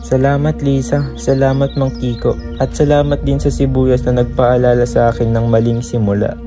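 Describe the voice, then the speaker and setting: A man's voice: medium pitch, monotone, normal speed, over music, lightly acted. A man playing an earnest, awkward young village suitor in a radio drama, speaking sincerely and a little hesitantly. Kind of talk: student radio drama acting.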